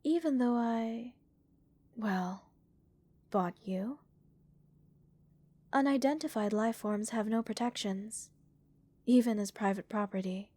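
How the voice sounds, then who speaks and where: Slow, soft-spoken alien researcher, played by a woman. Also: fairly high pitch, dry recording. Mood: calm, reassuring. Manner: measured, reassuring, clinically curious. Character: gentle, curious female alien researcher examining a captured human. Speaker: voice actor in audio roleplay. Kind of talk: soft-spoken ASMR roleplay acting.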